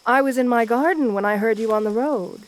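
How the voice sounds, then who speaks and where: A woman acts out an elegant vampire countess with a fairly high voice. She is assertive and flirtatious, doting on the listener with genteel manners in an intimate ASMR roleplay. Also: brisk, close to an everyday voice. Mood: gracious, charming. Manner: genteel, doting, uses pet names.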